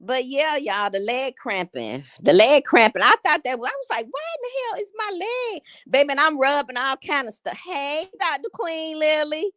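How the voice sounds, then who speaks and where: A woman's voice: high voice, sing-song, moderate pace, hardly any pauses, fairly bright tone, no echo, clean studio sound. A woman in her late fifties from Gary, Indiana, chatting casually with her livestream viewers and laughing often. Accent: Northern Indiana, Gary. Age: 50s. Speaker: livestream host.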